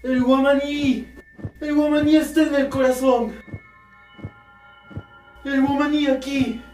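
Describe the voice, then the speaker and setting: A woman acts a young disciple in a radio drama, reverent and watchful. The delivery is slow and high, in a roomy echo with soft music behind. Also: fairly even intonation. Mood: reverent, awed.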